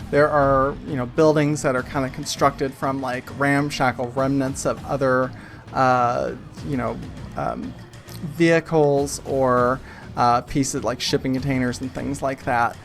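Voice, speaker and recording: A man improvising tabletop game narration as game master, halting as he searches for his words. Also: mid-range voice, fairly even intonation, vocal fry. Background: music.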